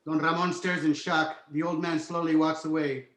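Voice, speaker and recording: Male narrator for script read-through, slightly high voice, echoey room. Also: little pitch movement, moderate pace, fairly bright tone. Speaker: stage directions narrator.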